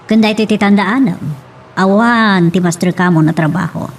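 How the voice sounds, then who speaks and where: A woman's voice: mid-range voice, moderate pace, faint music behind, lightly acted. A woman playing a haughty, scornful accuser who scolds with contempt in an audio romance drama. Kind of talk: Ilocano radio-style romance drama acting. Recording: distant mic with faint noise.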